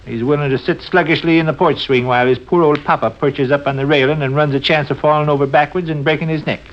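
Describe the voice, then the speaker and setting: A man's voice: fairly high pitch, steady tone, quick pace, natural voice. An American actor playing a playful, mock-pompous middle-aged husband and father in 1940s radio comedy. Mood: mock indignant. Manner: florid, mock-pompous, teasing. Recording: dull old radio broadcast recording.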